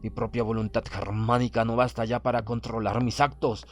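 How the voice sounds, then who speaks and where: Un hombre que lee un audiolibro de terror como un orgulloso oficial naval prusiano condenado, con tono grave y pausado. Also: male voice, medium pitch, little pitch movement, soft background music, close to an everyday voice. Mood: grave dread. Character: proud, doomed Prussian naval officer writing his last account. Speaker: audiobook reader. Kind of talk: dramatic horror audiobook narration.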